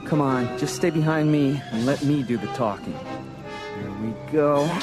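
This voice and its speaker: A man as an earnest high-voiced young hero. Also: moderate pace, loud music behind the voice, lightly acted. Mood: nervous, impatient. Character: earnest, brave young male space pilot hero. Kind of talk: radio drama acting.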